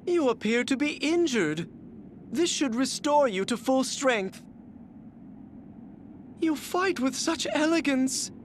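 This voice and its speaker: An actor playing a vain, flamboyant warrior obsessed with beauty, with refined, flowery, effeminate delivery, in a video game. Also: male voice, very high voice, slight background noise, heavily acted. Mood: admiring. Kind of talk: theatrical video game character acting.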